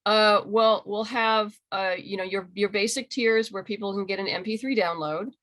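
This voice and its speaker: A woman's voice: mid-range voice, fairly even intonation, moderate pace, a bit bright. A woman being interviewed as a writer and creator, talking casually and enthusiastically on a video call. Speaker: interviewed comic book writer and audio drama creator.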